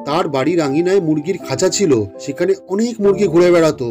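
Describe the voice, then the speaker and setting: A man's voice: high voice, quick pace, music in the background. A man narrating a scripted children's folk tale.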